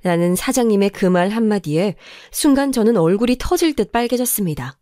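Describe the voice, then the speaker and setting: A woman reading a first-person life story aloud in a calm, even storytelling manner. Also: medium pitch, a little fast, no echo, clean studio sound. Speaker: story narrator.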